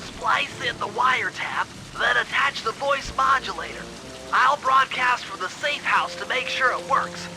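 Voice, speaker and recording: Really high nasal nerd brain, fussy n eager, cartoon game, music under it. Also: male voice, a little slow, rough recording. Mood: businesslike. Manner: precise, wordy mission briefing. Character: nerdy young brainy male gadget mastermind, fussy and eager. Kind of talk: cartoon video game voice acting. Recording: loud music behind the voice.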